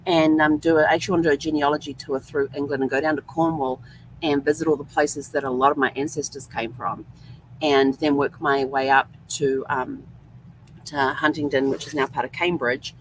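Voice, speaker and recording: A woman's voice: low-pitched, level tone, fairly quick, a little hiss or hum, poor audio. A woman hosting a vlog, telling a rambling, chatty travel story full of ums. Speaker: host. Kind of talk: casual travel vlog monologue.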